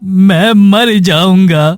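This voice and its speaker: A man playing a poor, sick village man in a cartoon moral story, sorrowful, gentle and pleading. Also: high-pitched, extremely slow, dry recording, faint music behind. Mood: distressed. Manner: plaintive, suffering.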